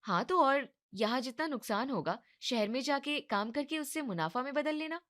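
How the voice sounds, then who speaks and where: A woman voicing a practical young wife who coaxes in a matter-of-fact way, in animated story dialogue. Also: high voice, normal speed, pitched above the performer's own voice. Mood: encouraging.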